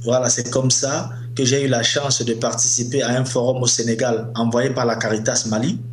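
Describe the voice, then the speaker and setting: A man's voice: medium pitch, little pitch movement, a little fast. Cameroonian man in an echoey room. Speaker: migrant activist, interviewee. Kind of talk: spoken interview testimony. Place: living room of a flat. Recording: echoey room, faint hum.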